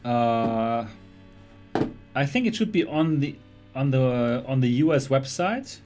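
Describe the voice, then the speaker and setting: A man's voice: medium pitch, big pitch swings, takes it slowly, darkish tone, soft background music. A young man from Germany with a German accent, hosting a casual livestream about VR tech, chatty and laughing often as he reads chat. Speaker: host of a VR livestream show.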